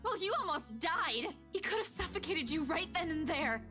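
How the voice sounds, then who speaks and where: A woman's voice: extremely high pitch, sing-song, moderate pace. An actress playing a headstrong, feisty, loyal young woman, defiant and indignant, in a horror visual novel game. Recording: music behind the voice.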